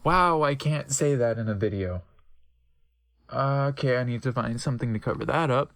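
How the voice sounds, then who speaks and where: A man's voice: mid-range voice, normal speed, a bit bright, no echo, clean studio sound. A man reading fan fiction aloud in a theatrical, sardonic way, breaking off for comic asides. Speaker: dramatic reader of fan fiction. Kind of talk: comedic dramatic reading with asides.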